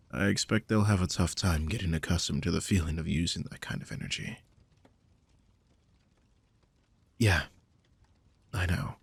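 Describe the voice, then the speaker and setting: A man playing a guilt-ridden, tender supernatural protector in an intimate audio drama, speaking gently and hesitantly with a husky, breathy voice that slips toward whispers. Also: deep voice, dry recording. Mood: vulnerable, pleading. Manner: gentle, hesitant, sighing delivery.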